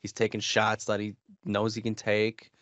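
A man's voice: fairly low pitch, completely flat delivery, moderate pace, fairly bright tone, no room sound. An American man in his thirties, a podcast co-host chatting casually about games. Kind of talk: casual gaming chat. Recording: slightly dull, band-limited, dry sound.

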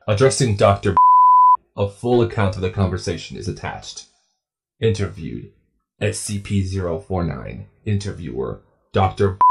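A man's voice: low voice, gravelly, very noisy. A man narrating scripted clinical case files in a formal, clinical manner.

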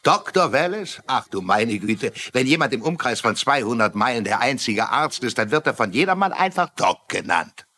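An actor playing a genial, folksy country doctor in a German-dubbed TV crime drama. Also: male voice, mid-range voice, very animated, moderate pace, hardly any pauses, pushed and bright. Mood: jovial. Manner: chuckling, genial.

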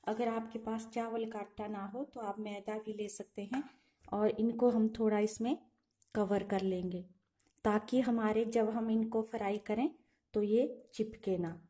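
A woman calmly talking through a home cooking recipe step by step, in a patient, instructive way. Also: medium pitch, unhurried. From Himachal Pradesh, India. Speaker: home cooking channel host. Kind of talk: step-by-step cooking demonstration voice-over. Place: home kitchen.

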